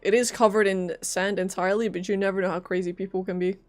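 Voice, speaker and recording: A woman's voice: mid-range voice, little pitch movement, fast. A woman streaming a video game live, commenting casually in a chatty, rambling, giggly way. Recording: faint game music behind voice. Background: faint game music.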